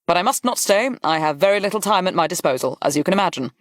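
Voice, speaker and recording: A narrator voicing a brisk, blunt aristocratic general in an audiobook, with clipped, emphatic phrasing. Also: mid-range voice, expressive, a bit bright, no room sound, studio quality, pitched above the performer's own voice, natural voice. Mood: brisk, weary. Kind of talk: audiobook character dialogue.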